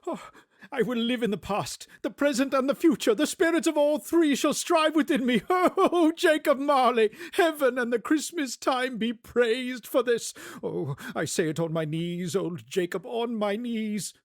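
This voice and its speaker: Old miser voiced by a man, high squeaky voice, big swoops, loud outbursts, dry sound, faint music. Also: animated, moderate pace, no room sound. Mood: giddy. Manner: pleading then laughing, shouting, breathless giddiness. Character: old male miser turned giddy and repentant.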